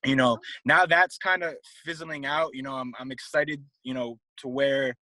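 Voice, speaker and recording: A man's voice: mid-range voice, fairly even intonation, normal speed, sharp tone, no echo, low-quality recording. A man in his late twenties from Connecticut, a fitness trainer and guest, talking casually and rambling with lots of "um" and "you know". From Connecticut, USA. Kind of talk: casual interview talk.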